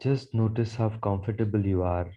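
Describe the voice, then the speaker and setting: A man leading a guided meditation in a soothing, measured manner, repeating phrases as he goes. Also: on the low side, steady tone, dark tone, highs cut off. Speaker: guided meditation leader, Reiki teacher.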